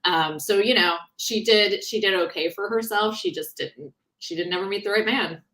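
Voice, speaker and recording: A woman author being interviewed in a casual book discussion, talking in an animated, conversational way and often breaking off mid-thought. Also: medium pitch, normal speed.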